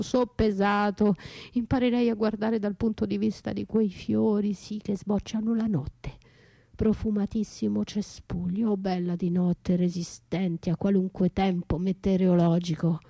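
An actress playing a battered wife left for dead, anguished yet defiant, in a theatrical, poetic radio monologue with drawn-out, breathy delivery. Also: female voice, medium pitch, warm tone, no room sound. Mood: wistful. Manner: theatrical, drawn-out, breathy, shifting from whispers to cries. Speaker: stage actress and writer performing a solo radio play.

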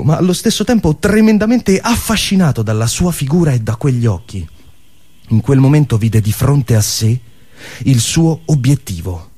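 A man narrating a scripted story theatrically, dramatic and emphatic, with staged pauses. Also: medium pitch, warm tone, breathy edge.

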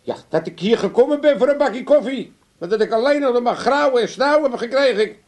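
A man's voice: extremely high pitch, expressive, brisk, darkish tone. An actor playing a cheeky, stubborn old Amsterdam father in a Dutch comedy radio play, blunt and colloquial. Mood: indignant, grumbling.

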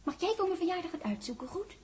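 An actress playing a lively, bossy ten-year-old girl in a childlike, chatty way, in 1960s Dutch radio drama style. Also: very high-pitched, fast talker, background noise. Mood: eager, demanding. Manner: childlike, chatty, searching for words. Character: lively, bossy little girl on her tenth birthday. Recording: husky-free vintage 1960s radio recording.

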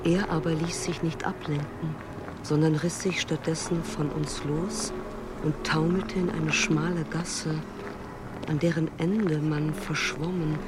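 A man performing as a sober, measured narrator in a literary radio play. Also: a little high, heavy background noise, lightly acted.

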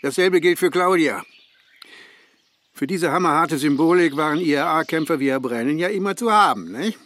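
A man's voice: fairly high pitch, moderate pace, a bit bright, lightly acted. An actor playing a stern, sardonic male German police detective in a crime radio play, with a controlled, curt delivery. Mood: dry, stern.

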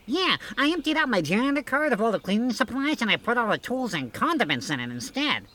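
A man's voice: very high-pitched, very animated, fast talker, pushed and bright, exaggerated voice. A man playing a naive, obedient janitor in a comedy skit, hesitant and puzzled. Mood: eager. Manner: hesitant, questioning. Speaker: comic sidekick.

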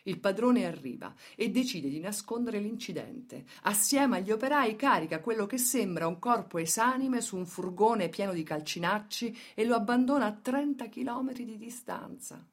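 A woman acting as a grave storyteller in radio theatre, with measured phrasing and dramatic emphasis. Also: mid-range voice. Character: grave female storyteller recounting workers' tragedies. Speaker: stage and radio actress.

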